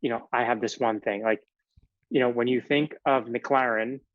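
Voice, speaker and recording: A man's voice: mid-range voice, fairly even intonation, moderate pace, no room sound, highs cut off. A man whose first language is Italian, a physician guest in a casual podcast interview, explaining earnestly in long runs with fillers.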